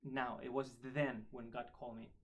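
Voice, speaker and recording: A man's voice: medium pitch, flat delivery, normal speed, fairly bright tone, lo-fi sound, a little hiss or hum. A man in his twenties from Spain, with a Spanish accent and Spanish as his first language, chatting in an interview about joining a religious order, friendly and often repeating or restarting words. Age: 20s. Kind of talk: casual interview chat. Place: school in Ireland.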